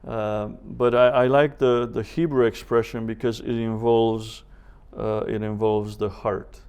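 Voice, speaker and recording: A man teaching a Bible seminar as a professor, speaking in a measured way with deliberate pauses, scripture quotations and rhetorical questions. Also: on the low side, little pitch movement, a little mellow, clear, resonant tone.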